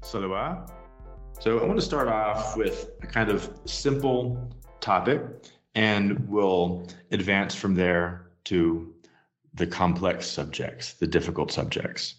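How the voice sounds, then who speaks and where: A man's voice: sits low, slow pace, faint music behind. A man asking questions as an interviewer in a conversational style. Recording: dull, lo-fi audio.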